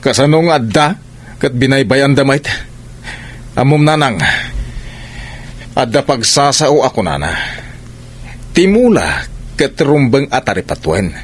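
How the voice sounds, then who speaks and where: A man's voice: medium pitch, very animated, measured pace, pauses often. A man with an Ilocano accent playing a sensible, exasperated farmer brother, complaining and moralizing in a radio comedy drama. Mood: earnest.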